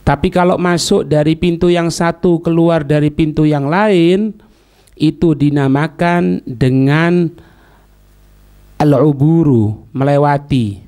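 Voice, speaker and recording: A man teaches a religious text. His voice sits a little high, and he talks very slowly. He moves phrase by phrase between Arabic terms and explanation, leaving halting pauses. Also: mellow. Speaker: Islamic teacher.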